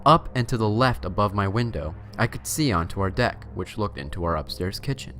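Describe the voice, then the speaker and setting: Male horror-story narrator, low register, theatrical and suspenseful, recorded with audible background noise. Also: animated, fairly quick, a bit bright. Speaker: host and story reader.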